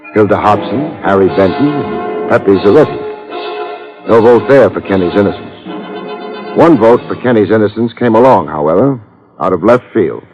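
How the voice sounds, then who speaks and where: Man acting a wry low-voiced radio detective. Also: close to an everyday voice. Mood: wry narration. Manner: dry, laconic, wisecracking. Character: wry, skeptical private detective narrating his case. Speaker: actor in detective radio drama. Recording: dull old radio recording.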